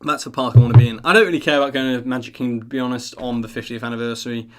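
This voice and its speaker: A man's voice: medium pitch, fairly even intonation, very fast. A man hosting a live stream, chatting casually in an earnest, rambling, self-deprecating way. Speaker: live stream host of a theme park news channel.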